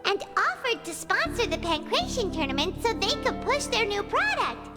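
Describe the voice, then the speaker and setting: A tiny, eager fairy companion, with an extremely high little-kid voice that swings all over as she explains things earnestly. Loud game music plays behind her. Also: sing-song, moderate pace. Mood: eager, explaining. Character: small childlike floating fairy companion, eager and chatty. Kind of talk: video game voice acting.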